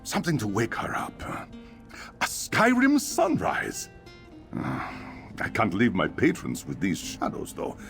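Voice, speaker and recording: A man's voice: lowish voice, wildly swooping pitch, takes it slowly, creaky, fairly bright tone, faint music behind, heavily acted. A man playing a nervous, chatty innkeeper in a fantasy game, with a flustered, hesitant way of talking. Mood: uneasy, chatty. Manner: stammering, nervous hesitations and sighs.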